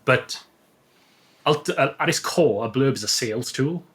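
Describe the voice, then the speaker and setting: A man speaking as a guest author in a casual interview, explaining things in a thoughtful, halting way. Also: medium pitch, expressive, fairly bright tone.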